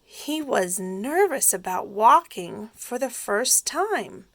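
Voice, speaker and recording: A woman teacher reading a children's story aloud expressively, pausing to explain things simply for young listeners. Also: a little high, very animated, a bit bright, no room sound.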